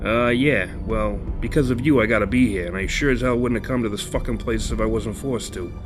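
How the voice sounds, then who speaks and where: An actor playing a blunt, exasperated killer in a horror audio drama, with slangy, sweary, colloquial talk. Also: male voice, lowish voice, fairly quick, music in the background, natural voice. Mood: exasperated, grudging. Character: crude, exasperated male killer, streetwise and blunt.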